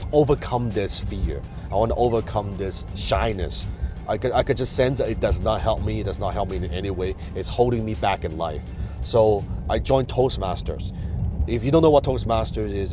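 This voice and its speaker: A man from Hong Kong whose first language is Chinese, hosting a casual advice talk and telling his story with many fillers and restarts. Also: fairly low pitch. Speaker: host. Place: in a car.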